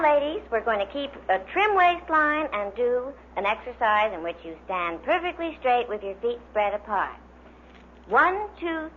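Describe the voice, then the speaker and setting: A woman working as a radio announcer, reading scripted items in a vintage broadcast style. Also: a little high. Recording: dull old radio recording with hiss.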